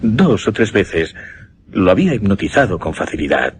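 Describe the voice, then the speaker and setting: An actor playing an earnest gentleman mesmerist in a suspense radio drama, formal and deliberate. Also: sits low, big pitch swings, slight background noise. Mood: reflective, measured. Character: earnest gentleman mesmerist recounting his uncanny experiment.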